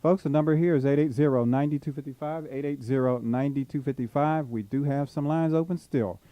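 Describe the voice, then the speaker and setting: A man's voice: a little high, brisk, no echo, muffled recording. A man co-hosting a radio call-in show, announcing the phone number again and again and inviting listeners to call. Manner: repetitive, promotional call-to-action delivery. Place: radio studio.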